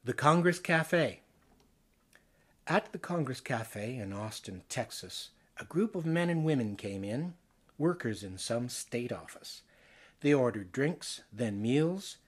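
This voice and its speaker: A Canadian man from Ontario reading poems aloud, expressively and theatrically, with deliberate phrasing and pauses. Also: medium pitch, animated, husky. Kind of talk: expressive dramatic poetry reading.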